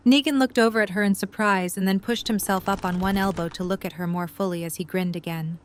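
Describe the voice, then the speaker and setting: A woman narrates a scripted story for an audio drama. Her voice sits at a middle pitch, describing the scene between the characters' lines. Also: steady tone, a little fast, a little hiss or hum.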